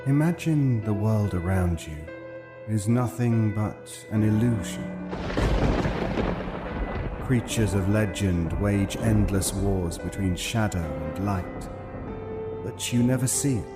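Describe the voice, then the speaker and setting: An actor playing a brooding wanderer of a hidden supernatural world, delivering grave, portentous trailer narration. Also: male voice, on the low side, slow, long pauses. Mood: ominous. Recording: voice under loud music.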